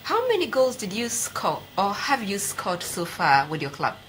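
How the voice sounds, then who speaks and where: A woman's voice: medium pitch, huge pitch swings, moderate pace, fairly bright tone. Studio interviewer, young woman, Ghanaian accent, direct questioning style, faintly noisy sound. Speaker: TV host, interviewer. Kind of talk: conversational sports interview.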